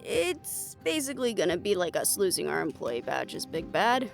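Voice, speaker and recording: Female voice, acted wary protective huntress, blunt, skeptical, gravelly fry, animated intonation, fantasy audio roleplay, music behind. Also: fairly low pitch, moderate pace. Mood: blunt, practical. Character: wary, protective, responsible woman hunter in fantasy world. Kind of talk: scripted audio roleplay drama. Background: background music.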